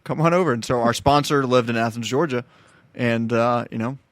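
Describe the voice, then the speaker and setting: A man's voice: medium pitch, a little fast, dry recording. A man in his twenties, born in Ukraine, chatting easily as a guest on a talk show. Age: 20s. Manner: easygoing, self-deprecating. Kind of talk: casual interview.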